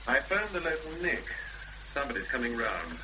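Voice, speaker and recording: A man's voice: mid-range voice, very animated, normal speed, bright, forceful tone, poor audio. A man playing a practical, sceptical young fiancé in a 1970s radio drama, matter-of-fact and clipped in conversation. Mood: casual. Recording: faintly hissy 1970s radio broadcast.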